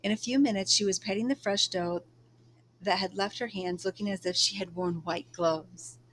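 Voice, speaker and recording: A woman reads a children's book aloud as a storyteller. Her voice sits at a medium pitch, and there is clear noise in the recording. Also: normal speed, fairly bright tone, rough recording.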